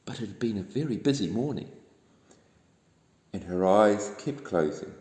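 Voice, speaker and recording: A man reads a children's bedtime story in a gentle, expressive way. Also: on the low side, moderate pace. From New Zealand. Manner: gentle, expressive, addressing young listeners. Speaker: storybook reader. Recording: echoey room with long reverb.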